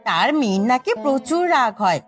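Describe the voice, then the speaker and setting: A caring village mother in a cartoon, played by a woman. She chides and soothes in a high voice, over faint music. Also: sing-song, a little fast. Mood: soothing, explaining. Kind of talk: Bengali moral cartoon dubbing.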